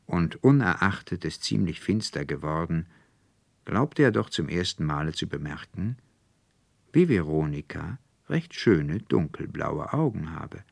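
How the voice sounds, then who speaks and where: A man narrates a classic story as an expressive audiobook reader. His voice is deep and gravelly, recorded dry and close. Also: dry recording. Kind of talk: expressive scripted literary narration.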